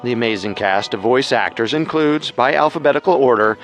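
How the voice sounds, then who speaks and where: A man hosting a podcast, delivering scripted true-crime narration in a measured, dramatic storytelling manner. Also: mid-range voice, expressive, faint music behind.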